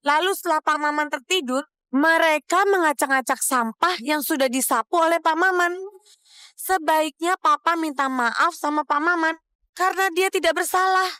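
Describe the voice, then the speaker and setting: A woman's voice: very high-pitched, normal speed, sharp tone, no room sound, studio quality. An actress playing a firm, fair-minded mother with an Indonesian accent in a television drama.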